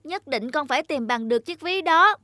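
A woman voicing an earnest, sensitive young boy in an animated story, polite and pleading in manner. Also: high voice, fairly quick. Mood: anxious. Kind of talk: Vietnamese animated moral story dubbing. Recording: music behind the voice.

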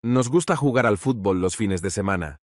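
A man narrates a scripted language exercise as a teacher. His voice sits a little low and he enunciates each short sentence deliberately. The recording is dry and close, free of room sound. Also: expressive, no echo. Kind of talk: scripted language drill reading.

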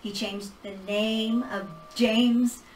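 A middle-aged woman teaching a Bible class in a casual, animated way, laughing often and holding a mic. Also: a little high, slow pace, crowd noise. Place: small church hall with projector screen. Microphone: handheld mic. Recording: roomy hall recorded from far off.

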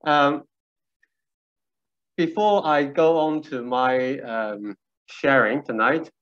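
A man from Shanxi, China, whose first language is a local Chinese dialect, talking through a face mask on a video call, relaxed and gently joking, with many filler pauses. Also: mid-range voice, muffled recording. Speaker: guest speaker. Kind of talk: casual talk on a video call. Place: small room indoors. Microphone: video call with earphone mic.

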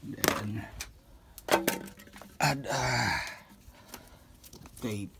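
A handyman explaining a repair step by step in halting speech with many fillers, working outdoors on a patio with a phone camera. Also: medium pitch, gravelly, heavy background noise. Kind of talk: hands-on repair demonstration.

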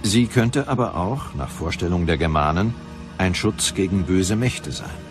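A man narrating a documentary from a script in a measured, deliberate way. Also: deep voice, lively intonation. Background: music.

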